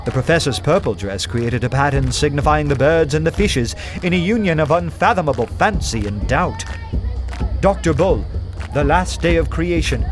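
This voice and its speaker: A man playing an earnest, poetic detective who narrates his strange adventure in a radio play with measured, literary wonder. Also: on the high side, natural voice. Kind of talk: dramatic first-person radio play narration. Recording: loud music under the voice. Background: music.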